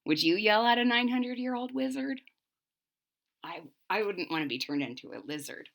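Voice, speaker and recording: A woman's voice: mid-range voice, normal speed, gravelly. A woman reading a children's story aloud expressively and dramatically, with asides to her listeners. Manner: animated, dramatic, questioning listeners. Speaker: storybook reader.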